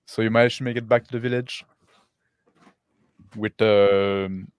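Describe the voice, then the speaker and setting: A man narrating a tabletop roleplaying game as game master, improvising haltingly. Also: lowish voice, fairly even intonation, clean tone, no echo.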